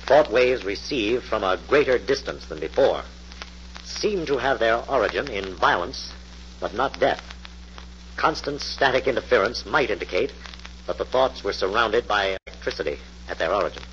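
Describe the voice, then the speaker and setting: A confident American scientist-detective in a vintage radio mystery. His voice is fairly low, precise and authoritative. The recording is muffled, with faint noise. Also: expressive, moderate pace, a bit bright. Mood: businesslike. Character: confident, methodical male scientist-sleuth, brisk and commanding. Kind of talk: 1940s radio acting. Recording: muffled, noisy old-time radio recording.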